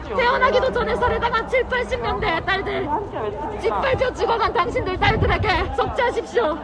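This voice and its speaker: A woman with an extremely high, electronically pitched-up voice speaks at a street demonstration. She is on a microphone, with loud crowd and traffic sound around her. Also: brisk. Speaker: protest speaker and event host. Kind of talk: impassioned political speech and announcements at a rally. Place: outdoor city street demonstration. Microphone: handheld microphone.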